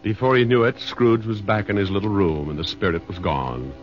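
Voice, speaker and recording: Male narrator played by an actor, low pitch, animated, folksy, wry, slangy, 1940s radio, music underneath. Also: fairly quick, lightly acted. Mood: warm, storytelling. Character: wry, slangy male storyteller of a Christmas tale. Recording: dull old radio recording, music behind.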